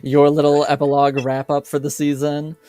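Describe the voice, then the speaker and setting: A man's voice: on the high side, monotone, fairly quick, mellow, no room sound, faint music behind. A man running a tabletop roleplaying game as game master, chatting casually with his players.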